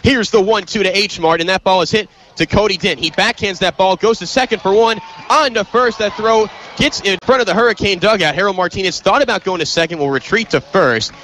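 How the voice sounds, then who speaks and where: A young American man calling live baseball play-by-play, emphatic and excitable on big plays. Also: sits high, fairly quick, few pauses, slight background noise. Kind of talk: live sports commentary.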